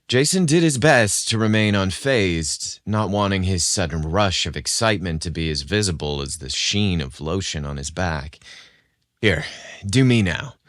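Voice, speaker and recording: A man's voice: low voice, normal speed, hardly any pauses, a bit bright, dry recording, studio quality. A man reading a scripted romance story as an audio fiction narrator.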